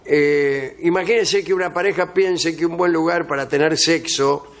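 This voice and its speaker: A man's voice: fairly high pitch, steady tone, normal speed. A man hosting a comedy radio show, reading out a travel guide with dry sarcastic asides.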